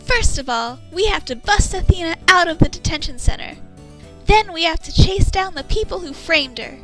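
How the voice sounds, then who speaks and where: A woman's voice: very high voice, animated, moderate pace, fairly bright tone, faint music behind. A woman playing an imaginative, perky teenage girl in an amateur game fandub, girlish and theatrical. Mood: conspiratorial, determined.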